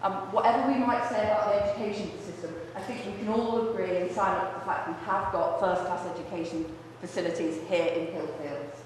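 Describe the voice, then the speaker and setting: A woman, a college vice principal giving a brisk, businesslike presentation to a room without a microphone. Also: medium pitch, steady tone. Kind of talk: presentation to a luncheon audience. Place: large echoey function room. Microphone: far room microphone. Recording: echoey hall from far off, faint noise.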